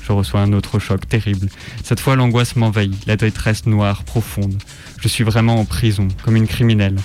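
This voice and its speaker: A man's voice: fairly low pitch, level tone, normal speed, darkish tone. A man reading a first-person story as dramatic scripted narration. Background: music.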